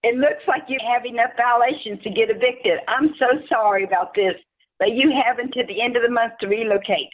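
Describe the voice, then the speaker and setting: A woman's voice: on the high side, a little fast, narrow, tinny audio, lightly acted. A woman playing a greedy, officious property manager in an amateur radio play, smug and falsely sympathetic. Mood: mock-sympathetic.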